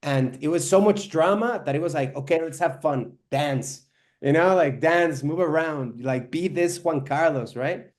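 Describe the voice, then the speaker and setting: Rambling Spanish-born man, slightly high voice. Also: a little slow, darkish tone. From Spain. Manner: rambling, many fillers like "you know" and "like". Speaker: filmmaker guest. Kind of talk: online interview.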